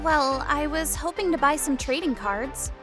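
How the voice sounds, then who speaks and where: Timid young furry alien female played by a woman, high-pitched, hesitant and polite, audiobook with faint music. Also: animated, unhurried. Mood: shy curiosity. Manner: hesitant, softly spoken, polite. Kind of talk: audiobook character acting.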